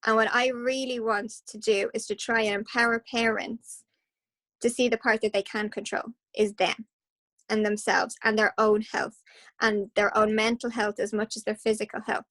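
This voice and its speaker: An Irish woman speaking as a guest hypnotherapist, passionate and animated, with quick restarts. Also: a little high. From Ireland. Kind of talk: casual interview talk.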